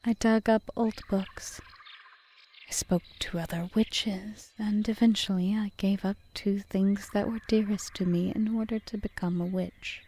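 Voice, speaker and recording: A woman playing a calm, calculating forest witch in an audio roleplay, speaking in a measured, formal, often whispery way. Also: mid-range voice, husky. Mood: solemn, wistful. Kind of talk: ASMR roleplay monologue.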